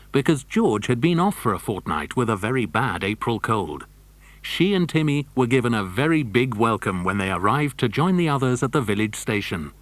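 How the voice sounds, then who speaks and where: A man's voice: mid-range voice, dry recording, lightly acted. A man reading scripted narration for a children's adventure story.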